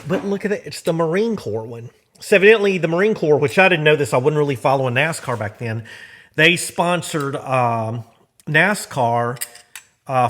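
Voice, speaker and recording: A middle-aged man with an American accent talks to viewers as a vlog host. His delivery is informal and folksy, rambling along in slangy everyday speech at an ordinary mid-range pitch. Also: a bit bright. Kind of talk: casual rambling vlog talk.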